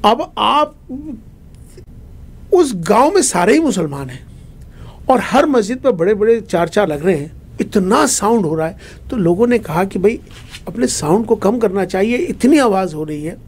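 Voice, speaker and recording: Man, imam interviewee, high-pitched, rough husky voice, conversational, emphatic, repetitive phrasing. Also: big pitch swings, normal speed. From India. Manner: emphatic, repetitive, rhetorical questions.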